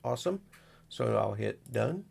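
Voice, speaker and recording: Gravelly, husky man talking through a demo. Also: mid-range voice, expressive, measured pace, darkish tone. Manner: folksy, rambling, narrates each click as he goes. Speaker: amateur radio operator, video host. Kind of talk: casual unscripted screen walkthrough.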